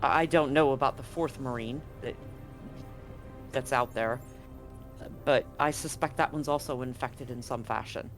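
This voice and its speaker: A man narrating a live tabletop game as game master, conversational and often halting to correct himself. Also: medium pitch, fairly even intonation, a bit bright. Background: music.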